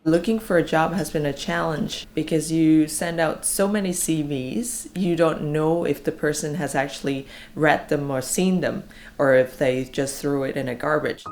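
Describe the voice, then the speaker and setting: A woman's voice: low voice, fairly even intonation, normal speed, a little mellow. A woman from Malaysia giving a personal account in a documentary interview. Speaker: job seeker interviewee. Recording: music playing behind the voice.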